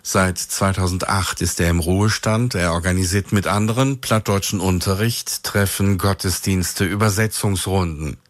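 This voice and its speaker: A man hosting a radio show, narrating clearly and evenly from a script. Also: deep voice, steady tone, moderate pace, hardly any pauses, no room sound. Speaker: radio host.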